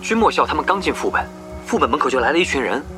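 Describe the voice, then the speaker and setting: A male actor playing an excitable, easily exasperated young gamer in a Chinese radio drama, given to animated exclamations. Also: on the high side, very fast, close to an everyday voice. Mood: puzzled, reporting. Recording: background music under the voice.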